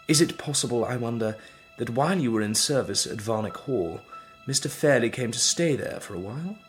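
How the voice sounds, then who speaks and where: An actor playing an earnest, determined young drawing master in an audio drama, speaking in a measured, formal, husky way. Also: male voice, mid-range voice. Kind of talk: audio drama acting and narration.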